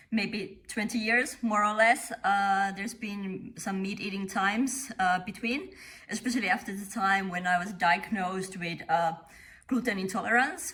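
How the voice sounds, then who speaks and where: A middle-aged woman from Finland speaks with a Finnish accent, her voice bright and clear. She talks in an interview in a measured, thoughtful way, stopping often on short "uh" pauses to find her words. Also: medium pitch. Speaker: company founder. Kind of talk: interview monologue.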